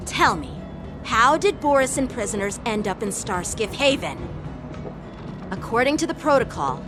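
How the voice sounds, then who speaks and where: Earnest, formal young lieutenant. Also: male voice, very high-pitched. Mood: stern, questioning. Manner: formal, measured, authoritative. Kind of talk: English video game voice acting. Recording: music behind the voice. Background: game music.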